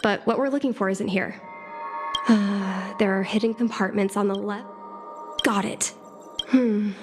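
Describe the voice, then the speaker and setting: A woman's voice: mid-range voice, steady tone, measured pace, natural voice. A woman voicing an earnest, thoughtful young girl in a video game, with some hesitation in her speech. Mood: curious, searching. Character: earnest young girl, daughter longing to be a pilot. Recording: clean game dialogue over background music.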